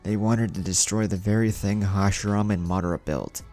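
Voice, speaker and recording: A man voicing a calm, blunt, self-assured young male ninja in a narrated story. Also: lowish voice, fairly quick, faint music behind, lightly acted. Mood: blunt, unrepentant. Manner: calm, plain-spoken. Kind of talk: character dialogue in narrated story.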